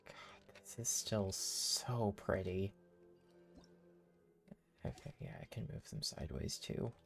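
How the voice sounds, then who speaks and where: A video game streamer giving casual, off-the-cuff gameplay commentary in a creaky voice. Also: sits low, takes it slowly, soft background music.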